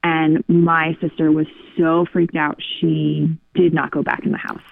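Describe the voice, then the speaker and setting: Woman caller, low-pitched creaky voice, casual storytelling, thin phone audio. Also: little pitch movement, measured pace, no room sound. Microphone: phone call. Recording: narrow tinny phone line.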